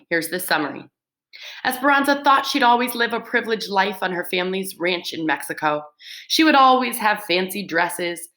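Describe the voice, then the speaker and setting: A woman with a voice a little on the low side talks like a school teacher addressing pupils. She reads prepared summaries aloud and explains them in simple terms. Key words get clear emphasis. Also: a bit bright.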